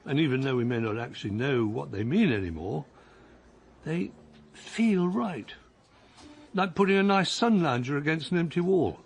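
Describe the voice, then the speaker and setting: A man's voice: fairly high pitch, expressive, normal speed, creaky. An actor playing a mild, self-deprecating retired man on a long walk, hesitant and chuckling as he talks. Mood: reflective. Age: older. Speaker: lead character. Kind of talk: radio drama acting.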